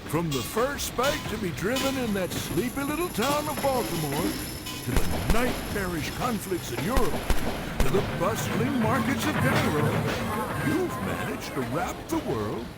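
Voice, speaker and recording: Folksy wisecracking mission briefer, grandiose, highly animated, high-pitched, video game cutscene. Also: male voice, unhurried, loud music behind the voice, heavily acted. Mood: grand. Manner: theatrical, teasing, grandiose. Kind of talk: theatrical scripted game cutscene narration.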